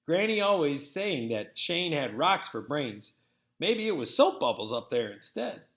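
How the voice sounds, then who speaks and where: A man reading a first-person story aloud as narrator, with an expressive, folksy storytelling manner. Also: a little high, a little slow. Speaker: read-aloud narrator, teacher. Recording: echoey, roomy sound.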